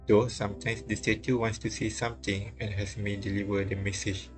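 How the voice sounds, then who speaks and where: A man reading visual novel dialogue and narration aloud on a live stream, halting and repeating lines as he goes. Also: on the low side, little pitch movement. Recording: music playing behind the voice. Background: game music.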